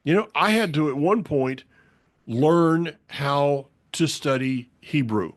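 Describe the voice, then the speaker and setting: A man's voice: on the high side, expressive, takes it slowly, no room sound, clean studio sound. A man with a Southern US accent hosting a casual faith conversation, folksy and meandering, with lots of restarts. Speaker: podcast host.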